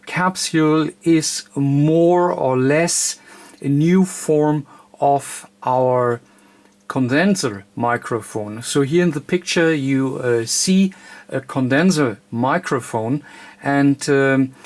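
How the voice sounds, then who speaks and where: A man who is not a native English speaker gives an informal technical tutorial, halting often with frequent uhs. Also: medium pitch. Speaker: tutorial presenter, radio hobbyist.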